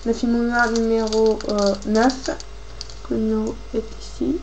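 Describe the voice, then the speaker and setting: High-voiced woman with a cold. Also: little pitch movement, darkish tone. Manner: informal, halting, thinking aloud. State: has a cold, coughing. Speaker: craft tutorial host. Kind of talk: casual hands-on tutorial, talking while working. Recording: clearly noisy homemade recording with clicks.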